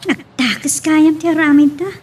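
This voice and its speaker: Anxious young Ilocano daughter voiced by an actress, very high, girlish pitch, questioning drama delivery. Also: fairly even intonation, moderate pace, darkish tone, slight background noise, natural voice. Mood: anxious, confused.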